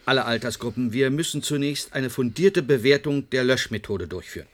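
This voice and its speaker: A man's voice: mid-range voice, lively intonation, fairly quick, close to an everyday voice. An actor playing a domineering older man who runs a research programme, in a radio drama. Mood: commanding. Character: domineering, curt older male research boss.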